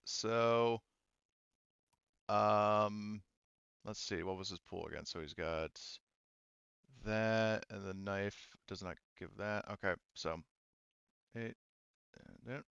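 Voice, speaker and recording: A man narrating as game master in a casual tabletop roleplaying session, thinking aloud haltingly and swearing mildly. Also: fairly low pitch, vocal fry, fairly bright tone, clean tone, no room sound, dull audio.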